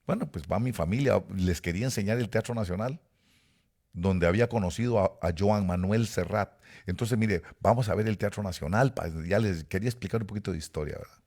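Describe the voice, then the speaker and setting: Animated preacher with a Guatemalan accent. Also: male voice, fairly quick. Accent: Guatemalan Spanish. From Costa Rica. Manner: animated storytelling with sudden rises in pitch and volume. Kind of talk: sermon with personal anecdotes.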